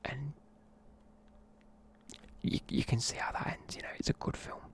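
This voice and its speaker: A tired, sleepy speaker, whispering a rambling film review close to the mic in the style of an ASMR video. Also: normal speed. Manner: rambling, loose, lots of fillers. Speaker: YouTuber reviewing films.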